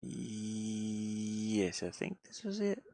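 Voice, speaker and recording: A man's voice: on the low side, sing-song, drawn-out words, creaky, mellow, no echo. A man chatting casually and unscripted on a livestream, rambling and muttering to himself in folksy, colloquial speech. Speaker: livestreaming gamer and hobby game developer living in a van. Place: inside a van.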